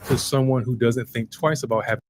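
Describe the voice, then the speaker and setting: A man reading a scripted video narration in a measured, even delivery. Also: mid-range voice, a little hiss or hum.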